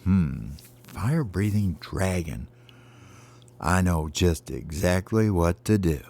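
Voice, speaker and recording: A man's voice: low-pitched, expressive, takes it slowly, gravelly, a little mellow, full character voice. A man voicing a brave, cocky baby bird knight in a children's story, with mock-heroic declarations. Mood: scheming.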